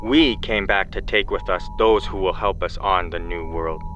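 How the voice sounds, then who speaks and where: Very deep, solemn otherworldly emissary. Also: male voice, a bit bright, slight background noise. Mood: stern, final. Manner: grave, oracular, measured pronouncements. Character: solemn otherworldly male spirit emissary, stern and judging. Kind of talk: scripted audio drama acting.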